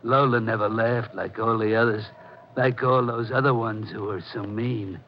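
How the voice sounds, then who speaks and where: A big, lonely, slow-witted man played by a male radio actor, low-voiced and childlike. He speaks haltingly in simple phrases. The old radio recording is muffled, with faint noise. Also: fairly even intonation, lightly acted. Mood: dazed, longing. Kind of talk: 1940s radio drama acting. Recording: dull, noisy 1940s radio recording.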